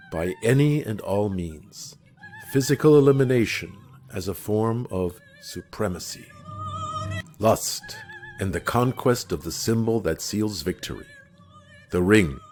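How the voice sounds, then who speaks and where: A man in his fifties with a deep voice and clear pronunciation, narrating a film slowly and dramatically. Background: music.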